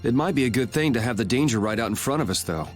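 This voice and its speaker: A man voices a laid-back young adventurer from a video game. His mid-pitched voice moves around expressively with dry, casual wit, over faint music. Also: lively intonation, fast, natural voice. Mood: thoughtful. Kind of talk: video game cutscene acting.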